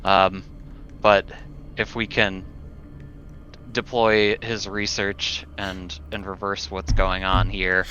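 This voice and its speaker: Bright low-voiced man, slow, dull audio. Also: little pitch movement. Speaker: tabletop game player. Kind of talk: casual in-character roleplay. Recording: dull audio, music behind.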